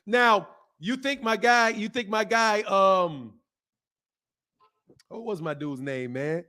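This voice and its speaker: A man's voice: sits high, slow pace. A man in his fifties hosting a live boxing talk stream, chatting loosely with viewers, repeating points for emphasis and laughing often. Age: 50s. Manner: animated, talks back to chat, repeats himself for emphasis, laughs often. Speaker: boxing channel host. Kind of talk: casual live-stream chat reading comments.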